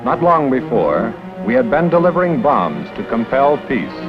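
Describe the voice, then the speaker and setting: A man's voice: mid-range voice, sing-song, moderate pace. A man giving scripted, proud, declamatory narration for an old documentary film. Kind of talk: scripted 1950s newsreel narration. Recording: dull old film soundtrack with music.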